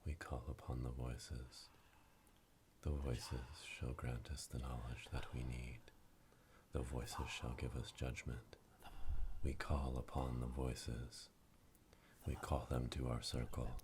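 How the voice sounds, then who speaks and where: A man voicing a solemn witch coven elder in an ASMR roleplay, hushed and breathy, chanting ominously close to a binaural microphone. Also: rumbling low, a little hiss or hum. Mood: solemn, incantatory. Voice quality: breathy whisper. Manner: slow, incantatory, repetitive, ominous.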